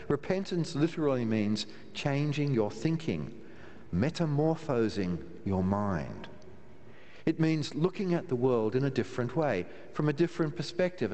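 A man's voice: medium pitch, very animated, mellow. Older man preaching in a reverberant church. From Australia. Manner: measured, wry preaching with slow emphatic pauses. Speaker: minister. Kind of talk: sermon. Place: large church, from the pulpit. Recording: long church reverb, faint room hiss.

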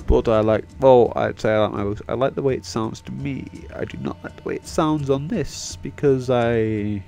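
A young man gives casual, self-deprecating commentary on a game, wandering from thought to thought. His voice is mellow and fried at the edges, tired from hours of talking. Music plays faintly behind him. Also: medium pitch, vocal fry. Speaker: video game let's player. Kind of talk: casual rambling gameplay commentary. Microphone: microphone, voice-over on game video. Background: game end-credits music.

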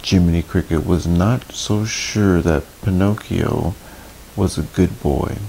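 A man in his thirties reading a children's storybook aloud, deliberately and expressively, with friendly asides. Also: low voice, creaky, dark tone, poor audio. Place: small indoor room, seated on a couch. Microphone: clip-on lapel mic. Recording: faint background hiss.

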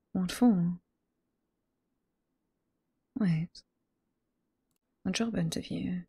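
Casting: actress as teasing older female librarian, British accent, ASMR roleplay. Hushed, very airy whisper, slow and sweet. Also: lowish voice, no room sound, exaggerated voice. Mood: warm, curious. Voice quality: soft, breathy whisper.

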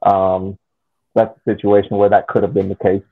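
A man in a casual sports chat on a video call, speaking in a halting, deliberate way. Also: fairly low pitch, completely flat delivery, vocal fry. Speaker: podcast panelist. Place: indoor room, remote video call. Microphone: video call microphone. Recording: dull lo-fi call audio.

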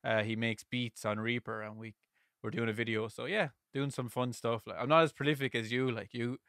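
A man in his thirties with an Irish accent, hosting a podcast from his home music room with a studio mic, talking loudly and energetically and laughing often. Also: medium pitch, steady tone, a little fast, clean tone, dry recording. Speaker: podcast host. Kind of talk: casual chat. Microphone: headset and studio mic.

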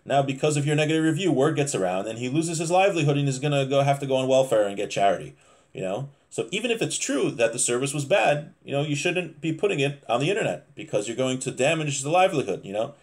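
A man's voice: mid-range voice, animated, quick pace. A man in his thirties with an American accent, giving an informal Torah lesson in a conversational, explanatory way, reading and commenting as he goes. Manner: conversational, explanatory, frequent check-in tags. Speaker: religious teacher giving a class. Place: small home room, indoors.

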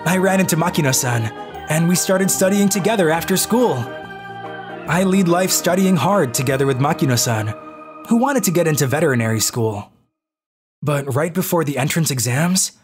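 Woman acting awkward self-pitying boy, lowish voice, expressive outbursts, manga story narration, music behind. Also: lightly acted. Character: anxious, self-pitying socially awkward male reliving his boyhood.

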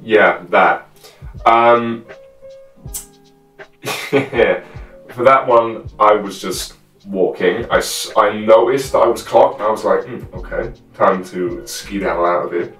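A man in his twenties casually recounting a scene in a halting, informal way. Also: fairly low pitch, a bit bright. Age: 20s. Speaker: guest, fellow live roleplayer. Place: small room at home with costumes. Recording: roomy echo, distant microphone, music underneath.

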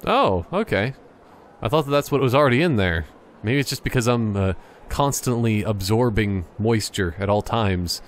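A man's voice: lowish voice, animated, moderate pace, a little hiss or hum. A man giving casual, joking gameplay commentary, rambling in a silly, mock-serious way.

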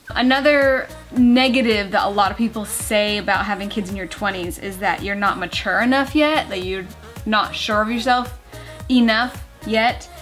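A woman's voice: medium pitch, moderate pace, a bit bright, faint music behind. A woman in her twenties, a vlogger chatting earnestly on camera from her living room couch, with lots of fillers. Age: 20s. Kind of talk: casual couple chat on camera. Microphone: camera mic at a few feet.

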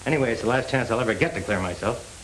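An American actor playing a desperate, determined young ex-convict with noble scruples in a 1930s crime film. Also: male voice, mid-range voice, racing, a bit bright, lightly acted. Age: young adult. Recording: noisy old 1930s film soundtrack.

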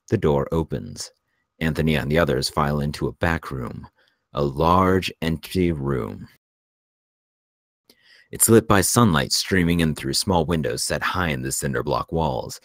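A man with an extremely deep voice reads screenplay action lines as a narrator. The sound is close and dry, in a scripted table-read style. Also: a bit bright, no echo. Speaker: narrator reading stage directions.

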